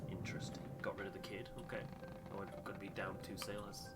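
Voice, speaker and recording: A man's voice: low-pitched, completely flat delivery, a little fast, over loud music. A man doing casual, understated commentary and narration for a game playthrough. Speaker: gameplay host and narrator.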